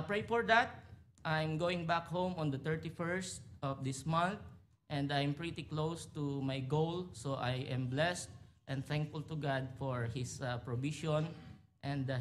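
A man from the Philippines with a Filipino accent, giving a halting church report as a visiting missionary, full of fillers and restarts. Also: fairly high pitch, slight background noise. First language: Filipino language. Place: church sanctuary.

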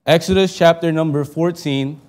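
A young man from the Philippines preaching with earnest conviction, his voice sitting a touch higher than most men's. He reads scripture aloud and prays, lifting his voice for emphasis and dropping it low and soft when he prays. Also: steady tone, normal speed. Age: young adult. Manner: earnest, heartfelt delivery that rises for emphasis and drops soft in prayer. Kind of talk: sermon with scripture reading and prayer.

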